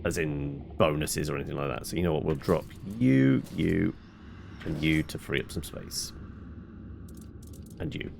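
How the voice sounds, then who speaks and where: A man's voice: a bass voice, measured pace, creaky, long pauses, darkish tone, a little hiss or hum. A man giving casual commentary on his own video game, muttering to himself as he plays. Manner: muttering to himself while playing. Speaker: gamer narrating own playthrough.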